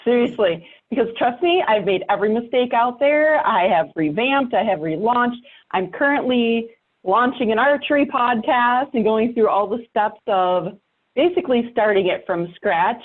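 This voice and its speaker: A woman's voice: on the high side, normal speed, narrow, tinny audio. A woman podcaster giving advice in casual conversation, blunt and emphatic with a wry edge, over thin call audio. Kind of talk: casual panel chat.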